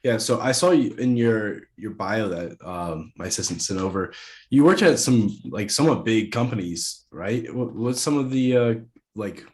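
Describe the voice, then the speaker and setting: A man's voice: fairly low pitch, expressive, moderate pace, a little mellow. A man hosting a podcast, asking loose questions full of fillers. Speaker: podcast host. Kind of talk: casual interview chat.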